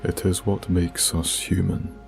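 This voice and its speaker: A man leads an ASMR guided meditation in a soft, hushed, soothing voice, speaking deliberately with long pauses. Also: low voice, little pitch movement, vocal fry. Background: music with binaural beats.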